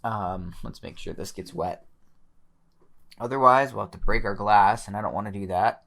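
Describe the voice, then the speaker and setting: A young man with a slightly hoarse voice, quietly talking through his gameplay, often pausing and restarting his sentences. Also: lowish voice. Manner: thoughtful, pauses and restarts sentences; soft-spoken, many fillers. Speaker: let's play host. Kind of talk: relaxed gameplay commentary.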